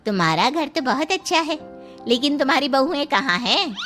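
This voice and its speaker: A chatty older aunt from a cartoon moral story, put on by a woman. Her voice is very high and full of gushing compliments, over faint music. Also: sing-song, normal speed, fairly bright tone. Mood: admiring.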